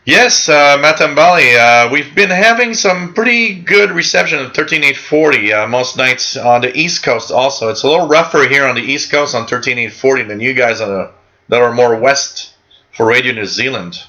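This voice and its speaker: Bright-voiced French-speaking man hosting a hobby stream. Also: mid-range voice, expressive, normal speed, rough recording. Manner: rambling, friendly, many fillers and restarts. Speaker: host of a live radio hobby show. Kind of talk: casual live-stream chat reading out viewer comments.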